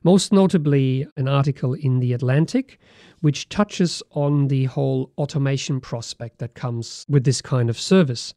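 A man's voice: mid-range voice, moderate pace, warm tone, dry recording. A man co-hosting a podcast, discussing in a casual way and asking rhetorical questions. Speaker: podcast co-host.

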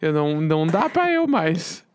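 Laid-back man, lively, smooth voice. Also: on the high side, moderate pace, no echo, studio quality. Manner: laid-back, slangy, frequent backchannel. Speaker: podcast co-host. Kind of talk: casual chat.

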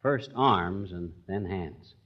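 A man's voice: deep voice, expressive, slow, exaggerated voice. A man playing a folksy, blustery husband in a 1940s radio comedy. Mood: earnest, folksy. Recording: muffled, noisy 1940s broadcast with audience.

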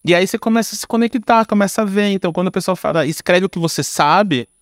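A man's voice: high-pitched, fast talker, no room sound, clean studio sound. A man with a Brazilian accent giving casual interview answers, speaking informally with slang and frequent tag questions. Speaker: guest, screenwriter and filmmaker.

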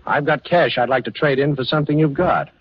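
A man's voice: a little high, fairly quick, close to an everyday voice. A man playing a cool-headed, wisecracking amateur sleuth in a 1940s radio crime drama, with a confident, wry manner. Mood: brisk, urgent. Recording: noisy, dull 1940s radio recording.

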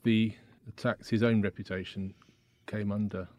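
A man's voice: on the low side, flat delivery, moderate pace, lo-fi sound. A man, a journalist interviewing his guest with direct, prompting questions.

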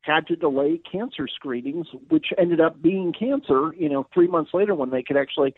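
Male phone-in guest, slightly high voice, tinny line, dry self-deprecating wit. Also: moderate pace, breathy edge, no echo. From Upper Peninsula of Michigan. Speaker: guest commentator, editor. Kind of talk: phone-in interview.

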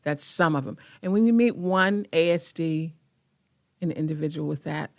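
A woman, a guest representing a foundation, speaking in quick, halting bursts with frequent restarts. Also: low-pitched, dry recording, clean studio sound. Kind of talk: casual interview conversation.

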